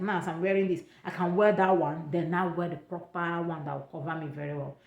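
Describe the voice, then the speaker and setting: A young Nigerian woman with an Igbo accent chats through her travel tips, repeating herself for emphasis. Her voice sits a little low, and the room around her is echoey. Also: a little mellow. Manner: chatty, repeats points, pidgin touches. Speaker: vlogger giving travel advice. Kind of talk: casual advice vlog.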